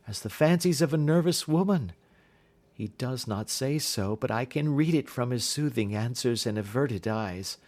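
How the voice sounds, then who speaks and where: A man's voice: mid-range voice, a little mellow, close to an everyday voice. Guy reading as a scared young victorian lady, pleading and proper, audiobook style. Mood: anxious, pleading. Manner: anxious, pleading, formal Victorian speech. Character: frightened, desperate young gentlewoman pleading for help. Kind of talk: audiobook character voice.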